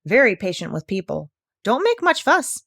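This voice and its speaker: A woman's voice: medium pitch, sing-song, moderate pace, gravelly, fairly bright tone, no echo, clean studio sound, exaggerated voice. A woman voicing a grumbling, plain-spoken old male plow horse in a storybook reading, with an indignant, matter-of-fact way of complaining.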